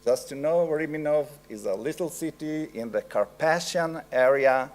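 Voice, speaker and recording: A middle-aged man with an Italian accent giving an academic lecture, slow and halting with frequent pauses. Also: fairly high pitch.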